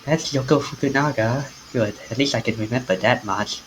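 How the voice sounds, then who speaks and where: A man acts out a groggy male office worker from a visual novel. He sounds subdued, puzzled and reflective, at a middle pitch. Faint noise runs behind the voice. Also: normal speed, lightly acted, rough recording. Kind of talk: amateur visual novel character reading.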